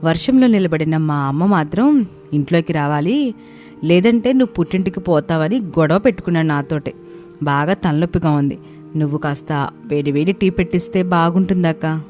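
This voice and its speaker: A woman's voice: deep voice, expressive, normal speed, darkish tone, soft background music. A woman voicing a worried, deferential younger daughter-in-law with a plaintive manner in a family story. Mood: upset. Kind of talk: dramatised moral story dialogue.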